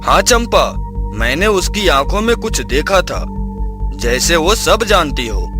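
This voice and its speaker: A man playing a poor, honest village father in an animated moral story, earnest, humble and a little wary. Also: fairly high pitch, unhurried, over music. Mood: wondering, uneasy.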